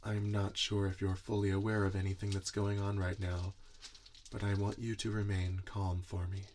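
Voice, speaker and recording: A man playing a gentle, earnest monster inventor in an ASMR roleplay, speaking softly in a soothing, deliberate, reassuring way. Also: low voice, level tone, natural voice. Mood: calm, reassuring. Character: gentle, earnest male monster inventor tending his robot creation. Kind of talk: soft-spoken ASMR roleplay. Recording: audible background noise, typing and clicks. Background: computer keyboard typing and clicks.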